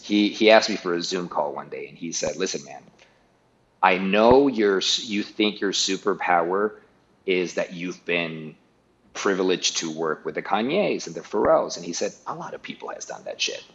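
A man's voice: low voice, expressive, moderate pace, highs cut off, a little hiss or hum. A middle-aged man from Guatemala, a guest talking casually in an interview, often restarting and repeating words.

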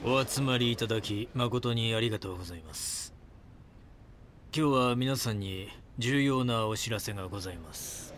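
An actor playing a calm, grandiose cult founder in an audio drama, speaking politely and preachily with deliberate phrasing. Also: male voice, lowish voice, noisy background, close to an everyday voice. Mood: calm, solemn.

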